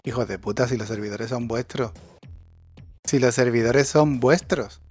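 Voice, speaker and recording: A man rants in a casual chat. He is sarcastic and swears freely, with an average pitch and faint music playing underneath. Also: normal speed, darkish tone, husky. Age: 40s.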